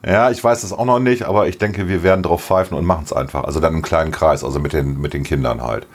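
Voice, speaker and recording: Deep voiced guy, kinda hoarse, just chatting on a podcast, laughs a lot. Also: quick pace. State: slightly hoarse. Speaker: podcast co-host. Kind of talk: casual chat.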